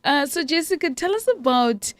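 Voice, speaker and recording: A woman's voice: high voice, lively intonation, moderate pace, dry recording. A woman hosting a faith radio interview, exclaiming and laughing often. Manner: warm, exclaiming, laughs and praises the Lord. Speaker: radio show host. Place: radio studio.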